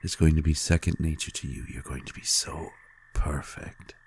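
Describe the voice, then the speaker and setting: A man playing a tender, adoring vampire lover in an intimate audio roleplay, gently teasing in a hushed, halting, gravelly voice. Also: steady tone, slight background noise. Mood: reassuring, seductive. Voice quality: very deep, gravelly and husky. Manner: slow, hushed, halting with pauses.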